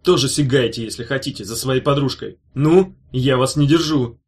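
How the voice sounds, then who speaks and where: A man's voice: medium pitch, moderate pace, a little mellow, close to an everyday voice. A man reading an audiobook, voicing a sinister male demon guard who hisses with fury. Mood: menacing. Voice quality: hissing.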